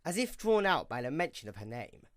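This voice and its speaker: A man's voice: a little high, big pitch swings, a little fast, no room sound, clean studio sound. A man narrating a scripted story for an audio drama.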